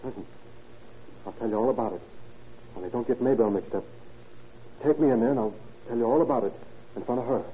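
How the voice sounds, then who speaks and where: A man's voice: mid-range voice, fast talker, pauses often, natural voice. A young male suspect, played by an actor in a vintage radio crime drama. He is defensive and earnest, talking in slangy, pleading bursts. The recording is muffled, with some background noise. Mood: pleading, confiding.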